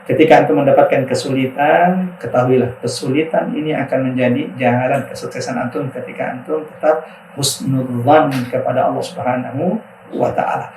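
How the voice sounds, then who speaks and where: Earnest preacher with a Makassar accent. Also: male voice, medium pitch, fairly even intonation, slight background noise, rough recording. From South Sulawesi, Indonesia. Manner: earnest, unhurried storyteller who turns into forceful exhortation. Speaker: Islamic preacher, podcast guest. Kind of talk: religious storytelling and advice.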